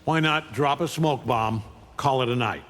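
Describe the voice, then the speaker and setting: A man's voice: mid-range voice, unhurried, fairly bright tone, in a large hall, lightly acted. A man voicing an arrogant, menacing alien conqueror in a video game, with a contemptuous, commanding manner. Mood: taunting. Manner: contemptuous, taunting, coldly commanding. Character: arrogant, menacing alien conqueror, a middle-aged superhuman father. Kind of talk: video game voice acting, fight intro taunts.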